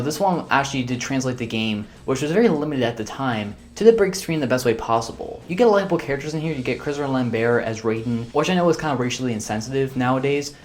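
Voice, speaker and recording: A man giving a casual, rambling spoken movie review as a video reviewer, opinionated and conversational. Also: medium pitch.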